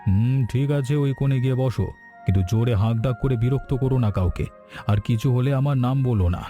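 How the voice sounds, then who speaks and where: Guy doing gruff gatekeeper at a fair, cartoon, brushes people off but soft inside, dark-ish mellow voice. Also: medium pitch, fast, soft background music, lightly acted. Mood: grudgingly relenting. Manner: brusque, dismissive. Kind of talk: Bengali cartoon fairy-tale voice acting.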